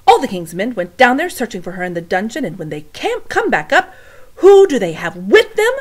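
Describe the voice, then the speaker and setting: A woman doing a scornful, shouting servant woman. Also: big pitch swings, brisk. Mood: indignant. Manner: shouting, scornful, raised high voice. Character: sharp-tongued tall female castle servant, dismissive.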